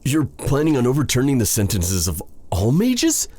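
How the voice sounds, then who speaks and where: A man playing a lovestruck, self-doubting exiled dark sorcerer in an intimate fantasy audio roleplay, breathy, halting and formal in his phrasing. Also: mid-range voice, huge pitch swings, no echo. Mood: hesitant, torn. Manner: flustered, stammering, halting, formal old-fashioned phrasing.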